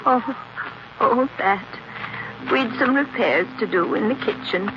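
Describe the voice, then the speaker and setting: A woman's voice: fairly high pitch, slow pace. An actress playing a genteel, sinister married woman with a prim, affected manner in an old radio drama. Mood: mock-mournful. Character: genteel, sinister married woman feigning delicate sensibility and grief. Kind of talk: 1940s radio acting. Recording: noisy, muffled 1940s radio recording.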